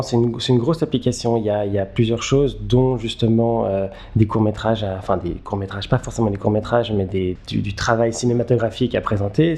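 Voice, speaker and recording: A man, giving halting, conversational interview answers and repeating words as he thinks. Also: lowish voice, creaky, mellow. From Geneva, Switzerland. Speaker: interview guest, filmmaker.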